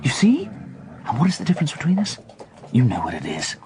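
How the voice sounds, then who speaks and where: A man's voice: medium pitch, huge pitch swings, fairly quick. A man acting in a radio drama as a reckless, obsessive gambler, theatrical and philosophising, pressing insistent questions. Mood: feverish conviction. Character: reckless, obsessive male gambler urging on his companion.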